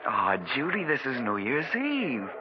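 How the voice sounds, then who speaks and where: A man's voice: medium pitch, huge pitch swings, a little slow, a crowd in the background. An actor playing a young man who drinks too much, pleading and confused in an old 1940s radio drama. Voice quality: slurred, pleading, emotional delivery. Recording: hissy, muffled old radio broadcast.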